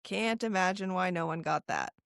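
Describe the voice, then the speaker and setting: A young woman co-hosting a podcast, telling a story in an animated, casual way with laughs. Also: on the low side, moderate pace, dry recording. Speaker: podcast co-host. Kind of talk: casual chat.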